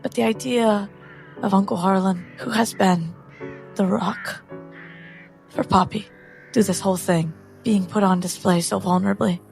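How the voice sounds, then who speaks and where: Woman roleplayer, gravelly fry in her voice, earnest dramatic storytelling, faint music under it. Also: mid-range voice, steady tone, measured pace, long pauses, darkish tone. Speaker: player in a tabletop game. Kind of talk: dramatic third-person narration of her character.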